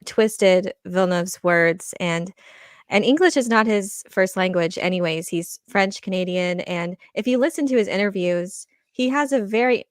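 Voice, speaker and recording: A woman on a podcast panel, explaining things casually in a halting way with restarted phrases. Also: medium pitch, no room sound, studio quality. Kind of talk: casual discussion.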